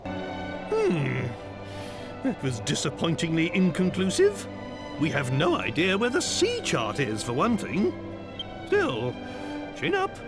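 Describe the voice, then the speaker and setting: Wry, dramatic gentleman for a game cutscene; slow, hugely animated delivery over music. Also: on the high side, heavily acted. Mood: wry resignation. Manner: wordy, droll, dramatic. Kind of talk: video game cutscene acting.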